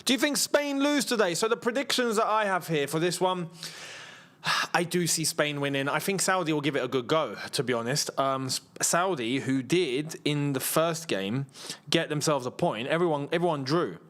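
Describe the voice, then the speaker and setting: A man's voice: on the high side, moderate pace, a bit bright. A tired man, chatting casually as the host of a football watchalong, rambling, laughing often and reading out viewer messages. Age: 30s. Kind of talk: casual live watchalong chat.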